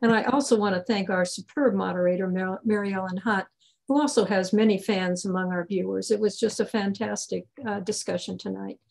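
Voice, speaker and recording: A woman's voice: medium pitch, steady tone, a little fast, gravelly, a little mellow, rough recording. A woman in her sixties giving formal closing remarks and announcements as an organizer on a video call. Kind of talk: formal announcements, partly read. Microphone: video call computer microphone.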